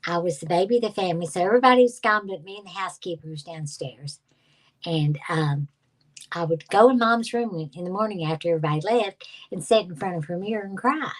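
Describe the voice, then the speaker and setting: A woman's voice: lowish voice, quick pace. An older woman, a guest psychic reader, casually recounting a personal memory with many restarts and fillers. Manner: reflective storytelling with many restarts. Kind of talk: casual conversation.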